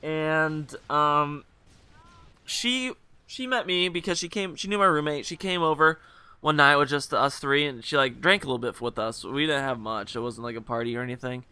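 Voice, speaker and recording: A young man hosting a gaming video, telling a rambling, joking story over gameplay while hungover, his voice a little rougher and lower than usual. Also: normal speed, sharp tone, dry recording. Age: young adult. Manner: rambling, joking, full of fillers and asides.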